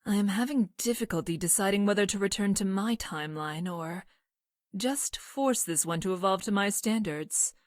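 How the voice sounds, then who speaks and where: An actress voicing a coldly clinical scientist from the future in a video game, with deadpan, formal, precise diction. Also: female voice, mid-range voice, moderate pace, dry recording, studio quality, natural voice. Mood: detached, analytical. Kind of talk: scripted video game character lines.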